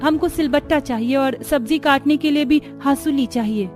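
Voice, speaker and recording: A woman's voice: sits high, normal speed, darkish tone, faint music behind, lightly acted. A woman voicing a meek, respectful young village daughter-in-law in a cartoon, polite and hesitant with rustic phrasing.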